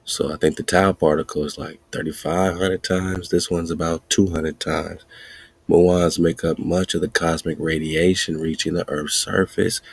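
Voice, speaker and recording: A man hosting a podcast, riffing excitedly in casual commentary with wordplay and frequent laughter. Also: sits low, vocal fry, husky, dry recording. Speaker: podcast host.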